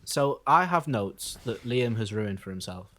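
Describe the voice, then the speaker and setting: A man co-hosting a music podcast, giving his opinions in casual chat with wry, deadpan humour. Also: on the low side, a little slow.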